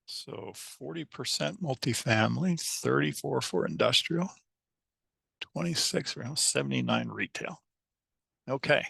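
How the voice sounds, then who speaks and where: A man presenting slides in a webinar, hoarse and losing his voice, halting with restarts and fillers. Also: mid-range voice, pushed and bright, dry recording.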